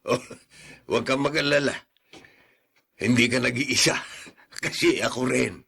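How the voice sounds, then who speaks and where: An actor playing a teasing, kindly old man in a radio drama, with a gravelly, raspy voice. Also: mid-range voice, a little slow, pushed and bright, exaggerated voice. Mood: teasing, reassuring. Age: older. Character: teasing, kindly old male friend with rheumatism. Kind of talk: Filipino radio drama acting.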